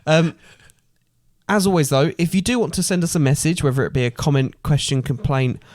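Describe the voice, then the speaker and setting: A man's voice: fairly high pitch, a little fast, a little mellow, no echo, clean studio sound. A man co-hosting a podcast in casual chat. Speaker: podcast co-host.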